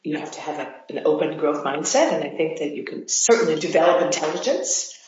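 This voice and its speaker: A woman who is a language learner, sharing her views thoughtfully and pausing to find words in a lesson conversation. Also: deep voice, animated, a little mellow, a little hiss or hum. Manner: thoughtful, reflective speaking with small hesitations. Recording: dull roomy echo.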